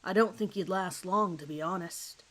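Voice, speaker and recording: A woman's voice: lowish voice, normal speed, lightly acted. A woman voicing a hard male police detective who questions bluntly and sardonically in an audio horror drama. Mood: accusing, forceful.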